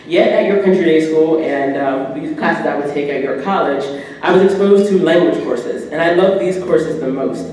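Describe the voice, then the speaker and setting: A woman's voice: sits low, moderate pace. Young American woman speaking in an echoey hall. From United States. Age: 20s. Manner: animated storytelling with acted-out quotes. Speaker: guest speaker. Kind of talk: prepared personal speech to a club audience. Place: indoor banquet room at a podium. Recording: echoey hall from far off, faint noise.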